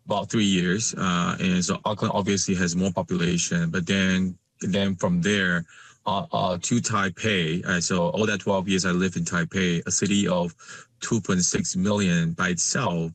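A man from Malaysia, a guest in a conversational interview, speaking haltingly with many fillers and restarts. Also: sits low, fairly bright tone.

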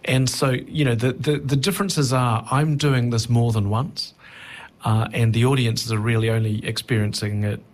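A middle-aged man talking reflectively as an interview guest, thoughtful and halting, often rephrasing mid-sentence. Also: medium pitch, fairly even intonation. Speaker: touring stand-up comedian, interview guest.

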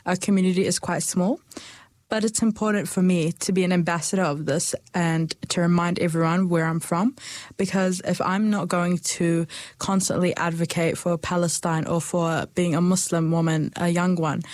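A young woman, speaking earnestly and thoughtfully about her heritage, with small pauses and restarts. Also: fairly low pitch, darkish tone, dry recording. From New Zealand. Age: young adult. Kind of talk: personal reflection.

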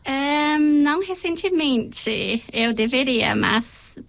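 A young woman from New Zealand, a native English speaker, answering interview questions hesitantly, often pausing to search for words and laughing lightly. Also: high-pitched, expressive, creaky. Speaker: guest.

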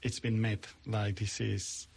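A man's voice: fairly low pitch, flat delivery, slow, vocal fry, darkish tone, slightly rough. Male interviewer, hesitant questions. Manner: hesitant, backchannels often.

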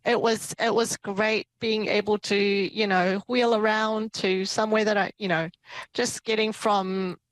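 A woman's voice: mid-range voice, flat delivery, unhurried, dry recording, poor audio. A woman from Myanmar who moved to New Zealand, telling her story informally and light-heartedly as a podcast guest. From born in Myanmar, moved to New Zealand as a child. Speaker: podcast guest, property investor and entrepreneur. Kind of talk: casual personal storytelling in an interview.

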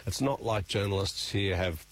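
Man, correspondent, husky, low, casual. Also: normal speed. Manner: casual, loose phrasing. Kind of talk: reporter chat.

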